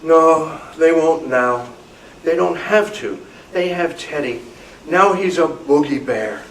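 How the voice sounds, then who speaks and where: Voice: mid-range voice, slow pace. A performer voicing an imaginative, stubborn little boy in a live spooky radio play reading, with a whiny, dramatic delivery. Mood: glum, ominous. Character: little boy Ira, excitable, stubborn, imaginative, fearful of boogeymen. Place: club meeting room, live reading. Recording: roomy distant microphone, noisy meeting room.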